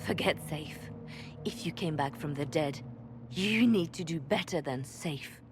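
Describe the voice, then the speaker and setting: Casting: a hardened fantasy game spymaster, deep female voice, curt, cold and cutting, long past mercy. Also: unhurried, music in the background. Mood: cold, commanding. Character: hardened, embittered spymaster woman, survivor of torture. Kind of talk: dramatic video game dialogue.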